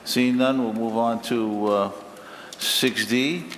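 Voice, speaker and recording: A man chairing a school committee meeting, moving through the agenda in a brisk, procedural way. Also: mid-range voice, steady tone, a bit bright. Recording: echoey hall with faint noise.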